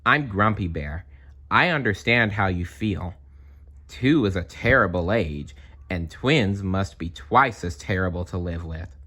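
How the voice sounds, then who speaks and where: A grumpy yet sympathetic cartoon bear, voiced by a man reading a storybook. He is blunt and downbeat, fairly low and slow. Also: animated, pushed and bright, breathy edge, heavily acted. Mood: grumbling sympathy.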